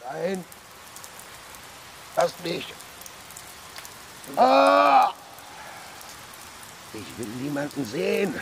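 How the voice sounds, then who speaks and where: A man's voice: sits high, wildly swooping pitch, drawn-out words, creaky, pauses often, background noise, full character voice. A man acting in a radio drama as a tormented, desperate recluse, monstrous, with a strained, groaning voice. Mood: anguished.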